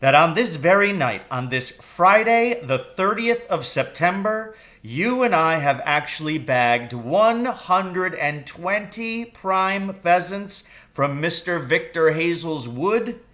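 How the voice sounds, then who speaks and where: A man's voice: fairly high pitch, expressive, slow, few pauses, pushed and bright, pitched above the performer's own voice, exaggerated voice. A man voicing an exuberant, boastful, loving father in a children's story, with grand, emphatic declarations. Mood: triumphant.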